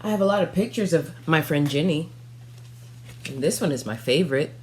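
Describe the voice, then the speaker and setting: A young woman reading a children's storybook aloud, narrating expressively in the first person with dramatic pauses. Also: low-pitched, animated, slight background noise. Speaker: storybook reader. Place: small furnished room at home.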